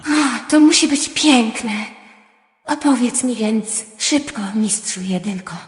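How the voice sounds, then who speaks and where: A curious, easily delighted little girl in a storybook radio play. Her voice is fairly high and carries a long hall reverb. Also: animated, slow pace. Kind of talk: audio drama acting.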